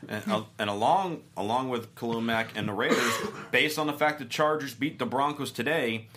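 A man's voice: mid-range voice, moderate pace, noisy background. A man hosting a casual sports talk show, chatty and jokey, often laughing.

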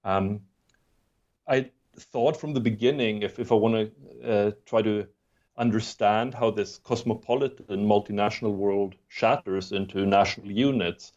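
Low-voiced man with a mixed European accent. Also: fairly even intonation, normal speed, a little mellow, highs cut off. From Sweden, raised in Germany. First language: not English. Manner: hesitant, many ums and restarts, laughs easily. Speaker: historian, guest author. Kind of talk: podcast interview.

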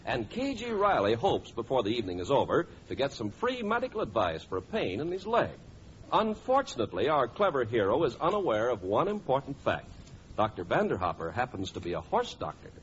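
A man's voice: medium pitch, huge pitch swings, brisk. A man narrating a vintage radio comedy as its announcer, in scripted 1940s style. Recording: muffled, noisy old radio recording.

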